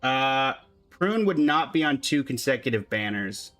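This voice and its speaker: A man's voice: mid-range voice, expressive, measured pace, fairly bright tone, soft background music. A man giving casual, chatty commentary on a game livestream, talking to his chat and humming little filler syllables.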